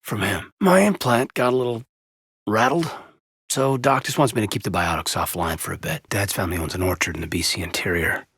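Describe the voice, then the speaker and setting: A man playing a loyal, earnest soldier in a video game, with a husky voice and a measured, sincere delivery that has hesitant pauses. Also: fairly low pitch, fairly bright tone, no room sound, studio quality. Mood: casual, candid. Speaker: video game character, military officer. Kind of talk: cinematic video game dialogue acting.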